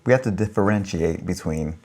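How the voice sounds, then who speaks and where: A man's voice: deep voice, a little fast, a little mellow. A man co-hosting a casual podcast who teases his partner and keeps his remarks brief. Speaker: podcast co-host.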